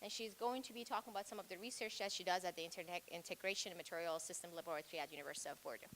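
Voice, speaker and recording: A woman's voice: fairly low pitch, racing, fairly bright tone, slight background noise. A woman hosting a lecture, politely introducing the guest speaker with some fillers. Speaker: lecture host.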